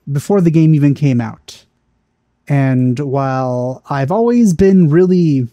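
A man's voice: on the high side, dark tone, no echo, studio quality. A man in his thirties giving casual reaction commentary as a video host, speaking into a headset. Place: small indoor room setup with green screen.

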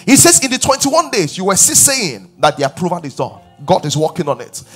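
Animated preaching man with husky voice. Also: sits high, a little fast, faint music behind. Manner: animated preaching, rising loud on key points, asking the crowd questions. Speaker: pastor. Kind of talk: sermon. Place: large church stage with live audience.

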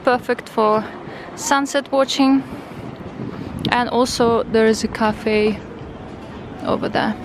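A woman's voice: fairly high pitch, steady tone, takes it slowly, pauses often, noisy background. A young woman from Ukraine, speaking English as a second language, chatting enthusiastically to her viewers while filming herself with a handheld camera. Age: young adult. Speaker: vlogger. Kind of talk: casual vlog narration. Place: city streets and a restaurant, indoors and out. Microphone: handheld camera at arm's length. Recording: loud background music.

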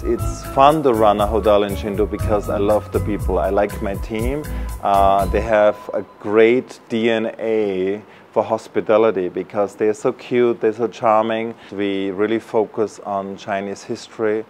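A man's voice: on the low side, steady tone, unhurried, darkish tone. A man in his thirties from Austria, talking casually in an interview as a hotel manager. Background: music.

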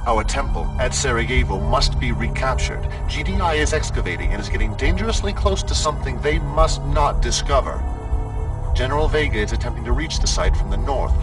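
An actor playing a charismatic cult leader in a video game cutscene, speaking with a commanding, preaching cadence. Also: male voice, mid-range voice, heavy reverb, big-room sound, far from the mic, natural voice. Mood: calm orders. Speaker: cult leader addressing followers.

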